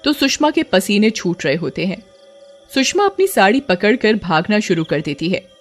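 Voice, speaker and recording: A woman's voice: medium pitch, sing-song, normal speed, music in the background. A woman storyteller giving a dramatic scripted narration of a horror tale.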